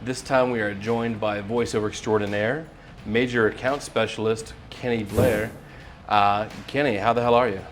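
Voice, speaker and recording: A man's voice: lowish voice, steady tone, normal speed, slight background noise. A man hosting a casual, joking food-challenge interview, speaking as the interviewer. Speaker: host interviewer.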